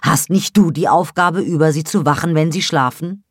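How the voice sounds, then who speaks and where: A woman's voice: extremely low pitch, lively intonation, a little slow, a little mellow, slightly rough, dry recording, clean studio sound, heavily acted. Woman voicing a stern, insistent older mother. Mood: reproachful. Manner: scolding, pressing with rhetorical questions. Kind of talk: audiobook dialogue.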